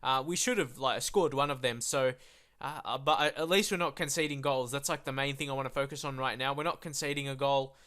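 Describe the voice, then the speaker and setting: A man's voice: mid-range voice, bright, forceful tone. A young man with a blocked nose giving casual, rambling live commentary over a football management game, full of fillers. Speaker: gaming YouTuber.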